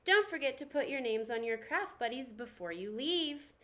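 A woman's voice: high-pitched, animated, normal speed, fairly bright tone. A woman voicing a cheerful female kindergarten teacher making upbeat announcements in a storybook.

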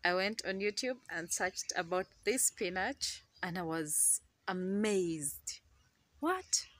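A young Ugandan woman with a Ugandan accent, chatting excitedly to a phone camera in her outdoor garden. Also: on the low side, slow, slight background noise. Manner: excitable, chatty, laughs often. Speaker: gardening vlogger. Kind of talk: casual chat to camera. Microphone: phone camera held close.

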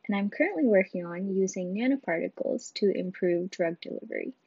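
A woman's voice: mid-range voice, lively intonation, fairly quick, warm tone, breathy edge, no room sound, muffled recording. A woman who grew up in India and the United States, a master's student, giving an earnest self-introduction. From India and the USA.

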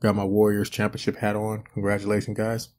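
A man's voice: lowish voice, fairly quick. Easygoing man narrating a home cooking video. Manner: relaxed, rambling with many fillers. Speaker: cooking channel host. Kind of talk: casual cooking voice-over. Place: home kitchen.

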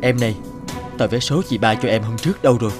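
A man's voice: medium pitch, fast, lightly acted. Man acting earnest, worried poor husband. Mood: quietly insistent. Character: worried, humble poor husband, gentle and conciliatory. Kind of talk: animated moral-tale dubbing. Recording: music behind the voice.

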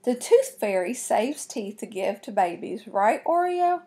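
A woman's voice: a little high, very animated, measured pace, warm tone. A woman voicing a cocky, matter-of-fact country boy in a children's storybook, with an assertive, folksy delivery. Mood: know-it-all.

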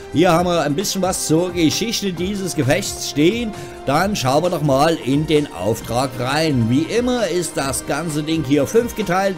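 A man with a slightly raised voice hosts a gaming news show. He talks in a chatty, informal way with wry asides. Soft music plays behind him. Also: on the high side. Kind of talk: casual, tongue-in-cheek news commentary. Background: background music.

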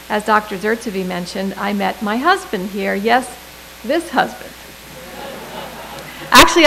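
A middle-aged woman delivering a prepared commencement speech at a podium microphone, with measured delivery and deliberate emphasis. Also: mid-range voice, a bit bright, a little hiss or hum, low-quality recording. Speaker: commencement speaker.

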